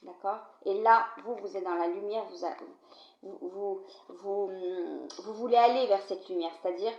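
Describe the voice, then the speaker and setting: A woman with a cold talks through a card reading, addressing the listener directly and checking in often. Her voice sits at a middle pitch. The recording is dull and quiet, with a roomy echo. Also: moderate pace. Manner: conversational, addresses viewer directly with frequent "d'accord?". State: has a cold, coughing. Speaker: card reader. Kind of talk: tarot-style card reading to camera. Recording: low-volume, dull, roomy home recording.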